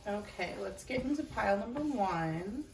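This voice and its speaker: A woman's voice: medium pitch, expressive, normal speed, vocal fry. A young woman with a slight cold giving a casual, chatty tarot reading to camera, laughing at herself now and then. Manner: chatty, self-deprecating, laughs often. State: slightly sick with an itchy throat and chest cough. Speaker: tarot reader. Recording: roomy echo, mic at a distance, noisy.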